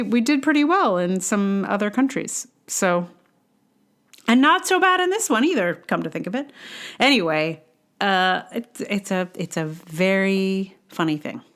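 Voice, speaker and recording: A middle-aged woman hosting a podcast alone, musing casually in a hesitant, rambling way with self-deprecating humor. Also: mid-range voice. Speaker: podcast host, playwright and actor. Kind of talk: casual reflective solo podcast monologue.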